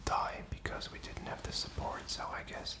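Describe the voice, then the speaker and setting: A man's voice: moderate pace, reverberant. A man whispering in a rambling, reflective and informal ASMR style, feeling his way through his thoughts. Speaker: ASMR content creator.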